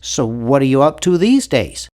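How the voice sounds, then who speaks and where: A man's voice: mid-range voice, sing-song, moderate pace, darkish tone, close to an everyday voice. A man playing an earnest, awkward, nerdy young cartoon hero in an adventure game, with a nasal, geeky, carefully worded delivery. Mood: chatty, awkward. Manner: geeky, over-articulate, earnest. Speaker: video game voice actor. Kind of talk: animated adventure game character lines.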